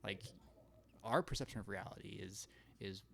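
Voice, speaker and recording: Low voiced guy with some gravel, rambling live stream chat, thinking out loud. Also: moderate pace, a little hiss or hum. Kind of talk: casual chat.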